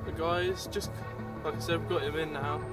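A man's voice: fairly high pitch, little pitch movement, moderate pace, loud music behind the voice. A young man, talking casually to viewers while fishing on a riverbank, filmed on a handheld camera at arm's length. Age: young adult. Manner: chatty, rambling, addresses viewers as guys. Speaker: fishing vlogger. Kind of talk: casual outdoor vlog. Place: riverbank outdoors in winter.

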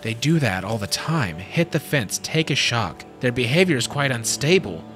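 A man voicing a serious, cautious geneticist who explains things in a measured way. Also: medium pitch, sing-song, over music, close to an everyday voice. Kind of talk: fan audio drama acting.

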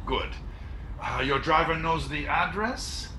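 An older man playing a pompous, scheming Edwardian doctor in a radio play, with theatrical, deliberate delivery. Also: fairly high pitch, bright, forceful tone. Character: pompous, scheming, chauvinist male doctor villain. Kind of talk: period radio play acting. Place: at home on a video call. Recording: roomy home video-call sound.

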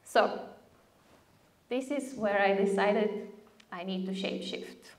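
A woman in her thirties from Bulgaria, a native Bulgarian speaker, gives an eager, chatty talk from a podium mic. Her voice sits at a middle pitch, and the big lecture hall gives it a roomy echo. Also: slow pace. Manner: enthusiastic, chatty, asks the audience with "right?" and "okay?". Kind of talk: conference talk. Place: large darkened lecture hall. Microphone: podium mic on a stand.

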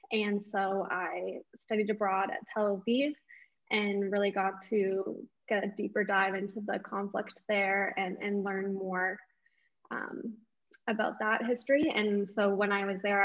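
Young woman on a dull video-call line. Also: mid-range voice. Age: late 20s. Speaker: interview guest, lawyer. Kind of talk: conversational interview answers. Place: small indoor room at home. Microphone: wireless earbuds on a video call.

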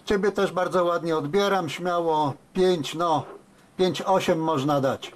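A man talking as a radio operator in a halting, conversational CB contact. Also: fairly high pitch. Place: indoors at a desk with radio sets. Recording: very noisy, radio hiss and clicks.

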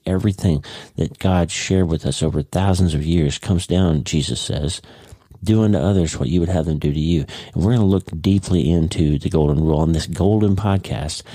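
Very low voice, congested man, dark mellow tone, dry close sound, chatty podcast host. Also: brisk, husky, no room sound. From United States. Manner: warm, chatty, leaves in his own slips. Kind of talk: casual spoken podcast monologue. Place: home, early morning.

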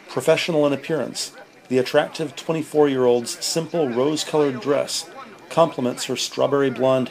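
A man's voice: medium pitch, vocal fry, rough recording. A man reading scripted, descriptive narration for an audio drama. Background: prominent music.

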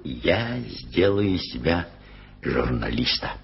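A man's voice: very deep voice, big pitch swings, slow pace, creaky, a little hiss or hum, exaggerated voice. An actor playing a kindly, flustered older male journalist in a 1980s radio play, coaxing and muttering to himself. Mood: playful. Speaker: lead character in a radio play. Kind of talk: 1980s radio drama acting. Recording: lo-fi archival radio recording with music.

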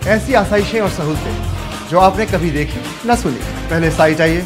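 A man voicing a persuasive property advertisement. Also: a little high. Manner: smooth, persuasive salesy delivery. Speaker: ad announcer. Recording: voice-over with backing music.

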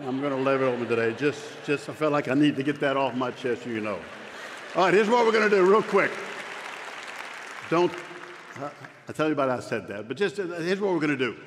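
A man's voice: mid-range voice, animated, fairly quick, crowd noise. A man in his fifties who stutters, preaching a joking, self-deprecating sermon on a church stage. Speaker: pastor. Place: large church sanctuary stage. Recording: long hall reverb, faint room noise.

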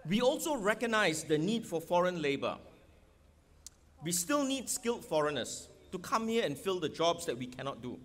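A middle-aged man with a Singaporean accent, his voice pitched high for a man, speaks forcefully into a podium microphone on an outdoor stadium stage. He throws rhetorical questions at the crowd and hammers his points home as a political candidate. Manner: rhetorical questions, emphatic, gesturing. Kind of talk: election rally speech.

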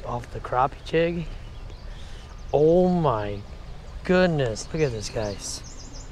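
Slow-paced man, slangy outdoor fishing vlogger. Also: mid-range voice, lively intonation, darkish tone. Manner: slangy, exclamatory. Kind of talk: casual excited chat to camera while fishing. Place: outdoors beside a shallow river. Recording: outdoor action camera with background noise.

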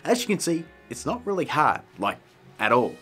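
A man in his thirties presents a casual how-to video with theatrical, joking emphasis. His voice sits a bit high, with lively swings and a husky edge. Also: normal speed, soft background music. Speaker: tutorial host. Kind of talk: casual, scripted video tutorial.

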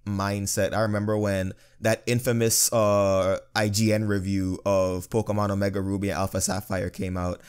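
A young man with a deep, bassy voice that sounds firm even when he is relaxed. His tone is smooth and resonant, in easygoing casual talk. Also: moderate pace, hardly any pauses. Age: young adult. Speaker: guest reviewer. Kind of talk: casual podcast discussion.

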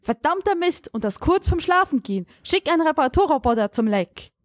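Frau spielt resolute Kommandantin, hohe Stimme. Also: female voice, sits high, big pitch swings, brisk, dry recording, studio quality. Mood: annoyed. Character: irritable, resolute female spaceship commander in trouble. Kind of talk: scripted German audio drama acting.

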